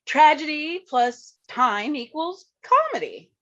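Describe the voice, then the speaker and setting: A woman acting a sardonic, high-voiced grumbler. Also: very animated, slow pace, fairly bright tone, muffled recording, exaggerated voice. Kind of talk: staged reading of a play.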